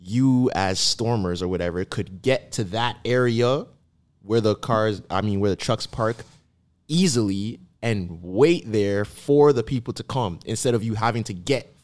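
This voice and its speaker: A young man co-hosting a podcast, chatting casually and telling stories in slang. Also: unhurried. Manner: animated storyteller, slangy, swings pitch widely. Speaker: podcast co-host. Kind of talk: casual chat.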